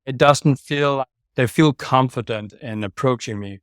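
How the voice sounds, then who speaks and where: A man's voice: mid-range voice, moderate pace, smooth, no room sound, clean studio sound. A man with a Danish accent, a sales manager giving direct, plain-spoken answers as an interview guest over a video call. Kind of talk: casual interview talk.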